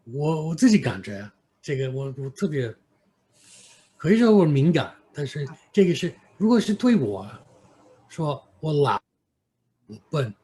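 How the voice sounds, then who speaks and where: A man from New York with an American accent, an interview guest telling stories casually and haltingly, sometimes switching between English and Mandarin. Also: fairly high pitch, very animated, warm tone. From New York, United States. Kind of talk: casual conversational storytelling. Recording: clear background noise.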